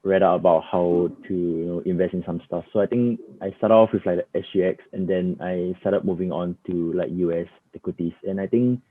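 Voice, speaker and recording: A man in his twenties with a Singaporean accent, a student answering quickly in an interview and leaning on filler likes. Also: sits low, fairly even intonation. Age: 20s. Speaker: university student. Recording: tinny phone-like sound.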